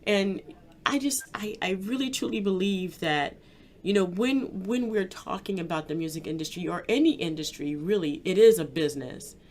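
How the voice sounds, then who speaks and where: Friendly, affirming interview host with a stuffed-up nose, medium pitch. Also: lively intonation. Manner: friendly, affirming, quick to laugh. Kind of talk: casual interview chat.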